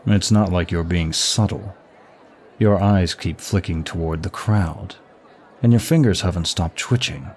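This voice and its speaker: A man playing a suave, teasing demon prince of lust in an ASMR roleplay, bored and sadistic, with a languid, husky delivery. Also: sits low, measured pace, a little mellow. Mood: sly, probing.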